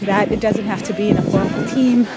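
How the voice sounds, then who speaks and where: A woman in her thirties from Ethiopia, telling stories in a casual interview with thoughtful pauses and soft laughs. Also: medium pitch, fairly even intonation, loud music behind the voice. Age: 30s. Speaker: interviewee, pickup soccer player.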